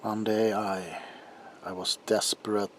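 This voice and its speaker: Guy doing a troubled desperate doctor, asmr roleplay, real slow n hushed, halting, some noise in the recording. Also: fairly low pitch, level tone. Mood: desperate, confessional. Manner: halting, hushed, dropping into whispers, with sighs. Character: troubled doctor protesting innocence, desperate and haunted. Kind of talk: ASMR roleplay, dramatic monologue. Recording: audible background noise.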